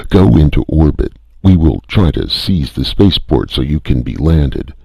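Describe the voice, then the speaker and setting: A man voicing an unknown male caller on a radio link who shouts commands stridently. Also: extremely low pitch, vocal fry, husky, no echo, pitched above the performer's own voice, heavily acted.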